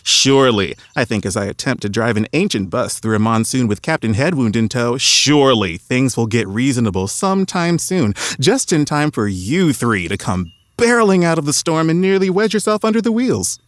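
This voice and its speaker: An actor playing a grumpy, sardonic fossil scientist with a hidden grief, in an audio drama. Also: male voice, mid-range voice, lively intonation, dry recording, studio quality, lightly acted. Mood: exasperated, alarmed. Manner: sardonic, halting when emotional. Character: grumpy, wry male fossil scientist, grieving his colleague.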